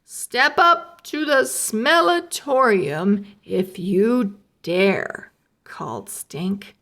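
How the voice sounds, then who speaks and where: A woman reading a children's chapter book aloud, slow and expressive, raising her voice for the characters' lines. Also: mid-range voice. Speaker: read-aloud narrator. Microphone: close mic, camera over an open book.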